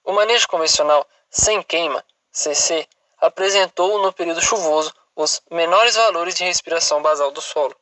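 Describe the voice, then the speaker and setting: A man's voice: fairly high pitch, normal speed, hardly any pauses, sharp tone, no room sound, poor audio. A man with a Brazilian accent reading a scripted academic presentation aloud as a student, in a formal, recited way with occasional stumbles.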